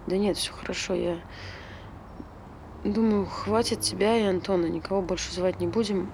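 A woman's voice: medium pitch, steady tone, moderate pace, darkish tone, slight background noise, natural voice. An actress playing a grieving, distracted woman who insists all is fine, in short repetitive phrases, in naturalistic stage drama. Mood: numb grief. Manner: clipped short phrases, repeats herself.

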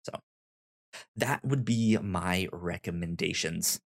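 Low-voiced man with a stutter. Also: takes it slowly, gravelly. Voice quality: stutters, repeating syllables and words. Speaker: podcast host. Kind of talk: casual podcast recommendations and chat.

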